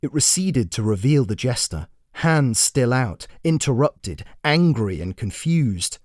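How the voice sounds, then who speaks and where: A man narrating a dramatic scripted fantasy story with measured, deliberate phrasing. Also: mid-range voice, animated, no room sound, studio quality. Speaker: author and narrator.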